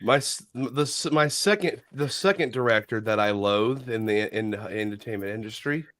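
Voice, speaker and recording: A man with a head cold, losing his voice, chatting excitedly on a livestream, cursing and tripping over his words. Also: fairly low pitch, normal speed. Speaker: podcast co-host. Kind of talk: casual livestream chat.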